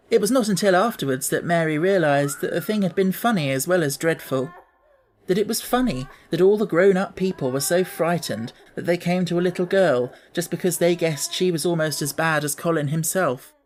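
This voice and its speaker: A woman narrating a children's story as a scripted audiobook, with expressive storytelling. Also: lowish voice.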